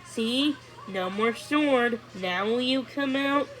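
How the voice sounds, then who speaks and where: Storybook role: young fairy prince read by a woman, courtly, proud and playful, measured delivery. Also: fairly high pitch, rough recording. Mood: apologetic, pleading. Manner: courtly, earnest. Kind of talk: storybook character voice.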